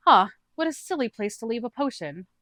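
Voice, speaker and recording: Woman playing an inquisitive rival adventurer, raised pitch. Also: very animated, fairly quick, fairly bright tone, no room sound, studio quality. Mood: curious, probing. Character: friendly, inquisitive rival fantasy adventurer, mildly suspicious.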